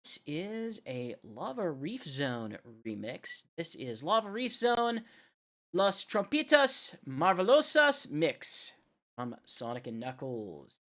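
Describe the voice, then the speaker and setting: Low-pitched, lively woman hosting casually. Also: slow pace. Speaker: radio show host. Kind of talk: casual livestream DJ chat between songs.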